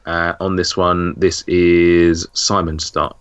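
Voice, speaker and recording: A man hosting a relaxed quiz among friends, reading each question and spelling the names out. His voice is on the low side with a bright, forward tone. He slips and corrects himself now and then. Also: slow, clean tone, muffled recording. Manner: reads questions, spells words out, apologetic slips. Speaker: quizmaster. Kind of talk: casual quiz banter.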